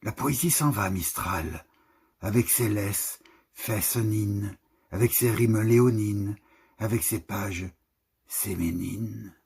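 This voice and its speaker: A man reciting poetry in deliberate, measured short phrases with pauses between them. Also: lowish voice, creaky, darkish tone, slightly rough. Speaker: poetry reader.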